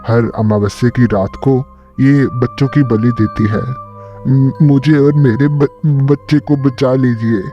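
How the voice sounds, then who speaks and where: A man's voice: mid-range voice, steady tone, takes it slowly, lightly acted. A man playing a nervous, cowardly neighbour in a cartoon, stammering and pleading. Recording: dull lo-fi sound, music behind.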